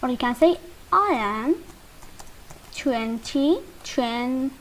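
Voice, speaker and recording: Woman teacher, high bouncy voice, very slow drills, faint background hiss. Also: lively intonation, poor audio. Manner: slow, drawn-out drilling with repetition. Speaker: English teacher. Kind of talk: slow beginner English lesson.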